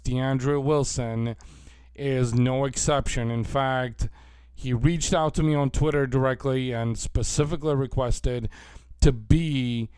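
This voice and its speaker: A man hosting a podcast with a hoarse voice, blunt and crude-spoken, in casual chat. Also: mid-range voice, dry recording. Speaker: podcast host. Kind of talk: casual podcast intro with profanity.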